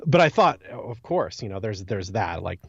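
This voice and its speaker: A man's voice: lowish voice, sing-song, moderate pace, creaky, breathy edge, no room sound, muffled recording. A man talking casually on a podcast in an informal discussion. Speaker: podcast co-host or guest. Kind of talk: casual chat.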